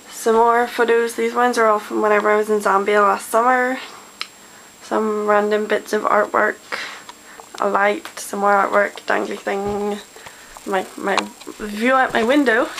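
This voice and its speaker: Chatty Northern Irish teen girl, gravelly. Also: fairly high pitch. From Northern Ireland. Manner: chatty, self-deprecating, many ums. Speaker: vlogger. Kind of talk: casual vlog chat. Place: small bedroom at home. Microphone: built-in webcam mic. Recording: noisy home webcam recording.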